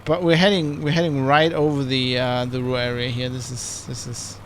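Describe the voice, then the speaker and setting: A man's voice: mid-range voice, little pitch movement, normal speed, a little hiss or hum, low-quality recording. A man giving rambling, casual commentary while streaming a flight sim. He restarts and corrects himself often. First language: German.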